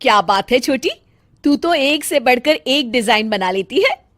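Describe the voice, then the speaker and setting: A woman acts out a crafty elder daughter-in-law for a comedy cartoon. She is self-assured and coaxing, with a high voice, measured pace and dry, close sound. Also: expressive, no room sound. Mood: encouraging. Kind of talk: Hindi family comedy cartoon.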